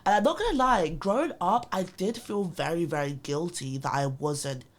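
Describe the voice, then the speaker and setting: A woman's voice: on the low side, moderate pace. A young woman with a London accent, a podcast guest telling stories in casual chat with lots of fillers and restarts. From born in Botswana, raised in London. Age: young adult. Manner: animated storytelling, many fillers and restarts.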